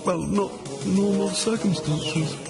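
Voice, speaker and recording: High-voiced, dithering knight. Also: male voice, steady tone, slow pace, gravelly, heavily acted. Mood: nervous. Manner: hesitant, stammering, deferential. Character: timid, dithering, cowardly young knight. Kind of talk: exaggerated cartoon adventure game acting. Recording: loud music behind the voice.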